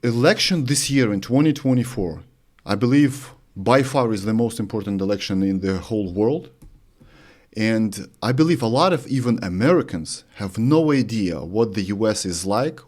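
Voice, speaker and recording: A man from Lithuania, hosting an interview in earnest, slightly halting English. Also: lowish voice, a little mellow. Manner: earnest, slightly halting, non-native phrasing. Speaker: host. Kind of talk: interview questions.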